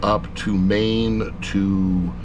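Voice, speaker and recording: A man's voice: lowish voice, level tone, extremely slow, background noise. A middle-aged man giving a casual, rambling review, with blunt, jokey asides. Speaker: audiobook reviewer.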